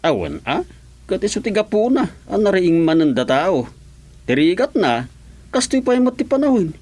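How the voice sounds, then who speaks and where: A man's voice: high-pitched, sing-song, normal speed, a little mellow. A male actor with an Ilocano Filipino accent playing a hesitant, stammering gossip in a comic radio drama. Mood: hesitant, gossipy. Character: hesitant gossiping gentleman, Mister Kaasay, bringing foundation news.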